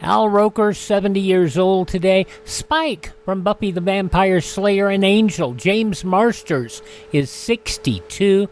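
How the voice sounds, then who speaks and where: A man's voice: sits high, takes it slowly, slight background noise. A man hosting a radio show, announcing in the style of a presenter reading a roundup.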